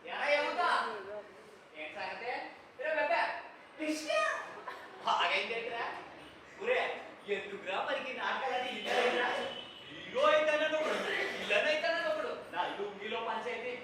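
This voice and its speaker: Scornful villager, played by a man on stage, slow taunting drawl; far mic, hall echo. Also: very high voice, expressive. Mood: mocking. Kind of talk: live stage acting. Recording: echoey hall from far off, audience noise.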